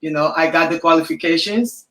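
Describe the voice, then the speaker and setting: A woman's voice: low-pitched, fairly quick, a bit bright, roomy sound. A woman born in Liberia and raised in Ghana, a podcaster and journalist, answering interview questions in a rambling way with many fillers.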